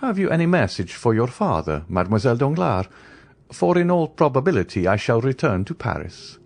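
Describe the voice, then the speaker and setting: A man's voice: high-pitched, animated, moderate pace, a little mellow, slightly rough, voice pushed up. A man voicing a desperate young male fugitive who pleads wildly and then turns insolent. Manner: frantic, pleading, later insolent.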